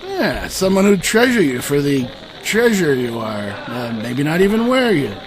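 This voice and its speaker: Coaxing fantasy hero played by a man, audio drama; informal, mid-pitched, very varied intonation, tavern-like crowd behind. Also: very animated, moderate pace, rough recording. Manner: easygoing, persuasive, informal. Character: casual, coaxing male fantasy adventurer. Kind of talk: audio drama character acting.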